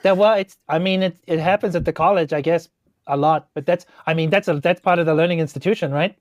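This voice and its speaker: A man's voice: a little high, fast, no room sound, studio quality, natural voice. A man playing a small, centuries-old forest gnome sorcerer in a tabletop game, rambling and tentative, a little defensive. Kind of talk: improvised tabletop role-play.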